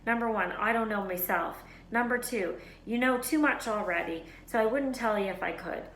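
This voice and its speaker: Storybook gamekeeper man, acted by a woman: furtive, gruffly friendly, folksy dropped consonants, echoey room. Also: a little high, normal speed, fairly bright tone, a little hiss or hum, voice pushed up, lightly acted. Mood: firm, guarded. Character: big, shifty, secretive male gamekeeper, gruffly friendly.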